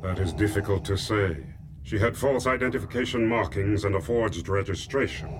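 An actor playing a grim, controlled dark Imperial lord, speaking formally and ominously in a space-opera radio drama. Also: male voice, on the low side, moderate pace, lightly acted. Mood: grave, measured. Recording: radio drama over clear background noise.

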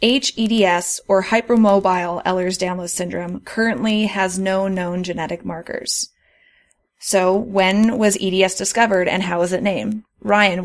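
A woman hosting a podcast who reads prepared explanations with care and sometimes laughs at her own slips. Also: mid-range voice. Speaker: podcast host.

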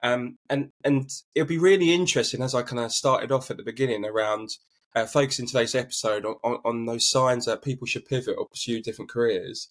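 A man's voice: medium pitch, a little fast. A man hosting a conversational interview podcast, feeling his way through long, rambling sentences. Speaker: podcast host.

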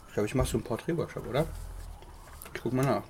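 A man's voice: medium pitch, quick pace, gravelly, a little mellow, slight background noise. A man co-hosting a podcast, chatting casually, joking and rambling, often laughing, with dishes clinking in the background. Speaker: podcast co-host. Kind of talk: casual chat.

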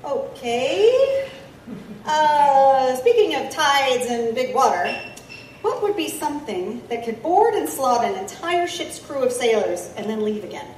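An inquisitive steampunk reporter, played by a woman in an audio drama. She speaks briskly and probes for answers. The sound is roomy and set back from the mic, with some faint noise. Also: high voice, animated, a little mellow, far from the mic. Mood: curious. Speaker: lead actor and narrator.

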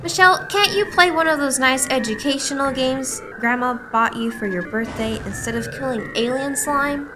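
A woman's voice: high voice, moderate pace, hardly any pauses, sharp tone, soft background music, poor audio. A woman playing a harried, wry mother in a radio play, with an exasperated, folksy way of talking.

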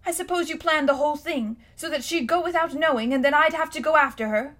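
A defiant young schoolboy, burning with anger and indignation, as a woman reads him aloud in a storybook. He speaks loudly and fiercely, his voice pitched high. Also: fast talker. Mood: indignant.

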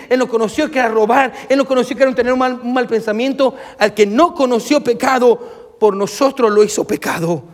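A man's voice: very high voice, darkish tone. A man preaching an impassioned sermon as a pastor, speaking emphatically, repeating key phrases and addressing his listeners directly. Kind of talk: impassioned sermon with scripture readings. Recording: long reverberation of a hall.

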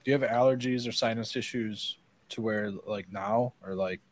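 A nasal, stuffed-up Midwestern man in middle age talks in a loose, rambling way. He swears freely and keeps clearing his throat between sentences. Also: lowish voice, steady tone, moderate pace, no echo. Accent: Midwestern American. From American Midwest. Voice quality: nasal, congested. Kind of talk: casual chat.

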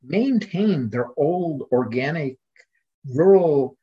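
Husky male scholar on muffled audio. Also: a little high, expressive, slow pace. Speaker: podcast guest, literary scholar. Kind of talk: scholarly conversation.

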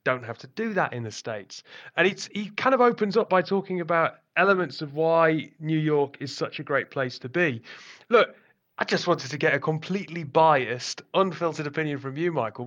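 A man's voice: on the high side, a little fast, dull audio. British man hosting a podcast. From Britain. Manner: relaxed, leans on "look" and "kind of". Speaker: podcast host. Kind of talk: conversational podcast interview.

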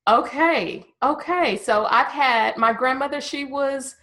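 A woman's voice: sits high, takes it slowly, fairly bright tone. Chatty upbeat podcast host woman. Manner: upbeat, chatty, laughs often. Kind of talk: casual podcast interview.

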